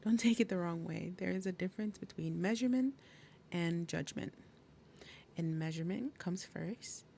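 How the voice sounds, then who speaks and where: A woman voicing a big, possessive demon mother in an ASMR roleplay, falsely sweet and threatening, speaking deliberately and dropping into breathy murmurs. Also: lowish voice, dark tone, slight background noise. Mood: taunting, menacing. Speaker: roleplay audio performer. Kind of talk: ASMR roleplay, acted monologue.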